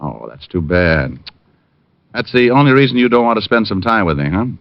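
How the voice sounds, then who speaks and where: An actor playing a shrewd, sardonic private detective with an American accent in a hard-boiled old radio drama. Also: male voice, lowish voice, very animated, moderate pace, no echo. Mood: mock friendly. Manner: sardonic, teasing. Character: wry, shrewd, needling male private detective. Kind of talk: hard-boiled first-person narration, 1940s-50s radio acting. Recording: muffled old radio recording with music.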